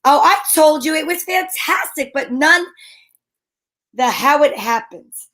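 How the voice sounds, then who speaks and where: A woman's voice: sits high, animated, measured pace, a bit bright. A woman playing a brash, slangy, wry female private eye in an old-time radio detective drama. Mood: wry, impatient.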